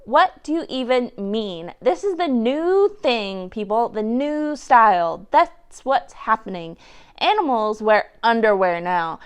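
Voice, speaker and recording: Woman voicing a high, animated, tactful storybook animal buddy. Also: clear, resonant tone. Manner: hesitant, stammering, then bluffing confidently. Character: loyal, tactful, hesitant male friend of a bear.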